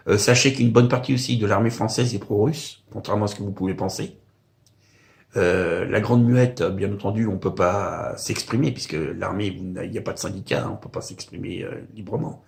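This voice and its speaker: A man's voice: on the low side, creaky, a little mellow. An older man from Paris, talking to camera in a casual, rambling monologue full of hesitant fillers. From Paris, France. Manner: hesitant, frequent "euh" and "hein" fillers. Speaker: video blogger. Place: home in the countryside.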